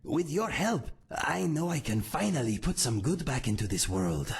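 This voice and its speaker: A raspy cat-folk swordsman. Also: medium pitch, big pitch swings, heavily acted. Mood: earnest. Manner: measured, formal and warm-hearted. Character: calm male cat-folk swordsman, earnest and loyal. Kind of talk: game character dialogue.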